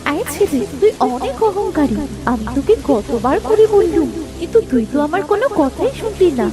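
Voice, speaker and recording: A woman's voice: very high-pitched, very animated, moderate pace. A woman playing a proud mermaid who casts curses yet shows mercy, in a children's moral-story cartoon. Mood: scolding. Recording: music behind the voice.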